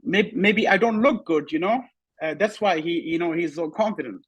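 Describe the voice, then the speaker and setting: A young man whose first language is Pashto, a bodybuilder telling his story in a relaxed interview and often saying "you know.". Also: sits high, moderate pace, husky, no room sound. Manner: relaxed, repeats "you know" often, simple non-native phrasing. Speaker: bodybuilding competitor, guest. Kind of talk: casual interview talk.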